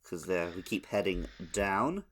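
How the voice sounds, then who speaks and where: Male podcast co-host, lowish voice, informal gaming discussion. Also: big pitch swings, unhurried, a bit bright. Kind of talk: casual chat about a video game.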